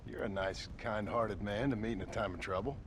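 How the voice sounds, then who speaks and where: An actor playing a weary, sardonic gunslinger with a gruff Western American drawl and deadpan delivery in a video game. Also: male voice, on the low side, fairly quick, a little hiss or hum, lightly acted. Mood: dry sarcasm. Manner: dry, deadpan, sarcastic. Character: weary, sardonic male gunslinger, tough and dry.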